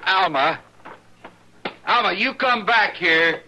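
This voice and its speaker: An actor playing a stubborn, possessive rustic husband in a radio western, with slow, folksy speech. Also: male voice, sits high, pushed and bright, heavily acted. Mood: demanding. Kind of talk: 1950s radio western acting. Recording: noisy old radio recording.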